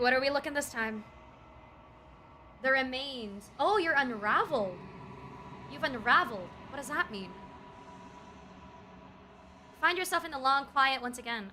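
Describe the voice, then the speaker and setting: High, bright-voiced woman livestreamer. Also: a little hiss or hum. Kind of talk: game playthrough, reacting and reading aloud. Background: game music.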